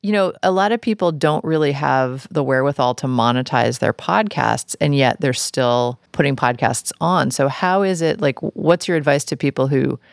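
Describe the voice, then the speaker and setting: Female podcast host with a creaky voice. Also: rumbling low, normal speed, hardly any pauses, dry recording, studio quality. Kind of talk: podcast interview and sponsor reads.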